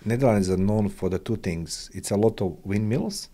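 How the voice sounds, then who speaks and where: Low-voiced Croatian man interviewing. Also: warm tone. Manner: curious, halting non-native English phrasing. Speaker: interviewer, podcast host. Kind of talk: casual conversational interviewing.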